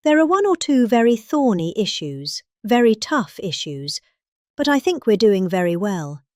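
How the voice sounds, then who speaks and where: A woman's voice: medium pitch, big pitch swings, moderate pace, darkish tone, no room sound, studio quality. British woman reading with dramatic, swooping emphasis. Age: middle-aged. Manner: theatrical, exaggerated emphasis. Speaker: reader of a speech. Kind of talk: dramatic reading of a political speech.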